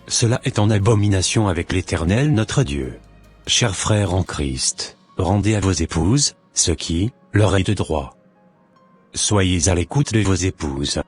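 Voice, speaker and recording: Casting: male actor as devout, forgiving cartoon husband. Low-pitched, earnest, measured delivery, light music underneath. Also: breathy edge, full character voice.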